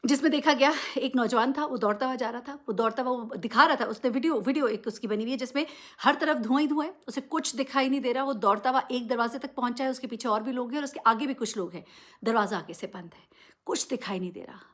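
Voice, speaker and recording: A woman's voice: on the high side, quick pace, a bit bright. A woman giving unscripted news commentary, addressing listeners directly and emphatically with rhetorical questions. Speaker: vlogger presenting news commentary.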